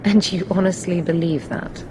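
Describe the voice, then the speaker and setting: An actress playing a dutiful, guarded soldier in a video game, formal and earnest. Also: female voice, lowish voice, fairly even intonation, normal speed, background noise, close to an everyday voice. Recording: game audio with music behind.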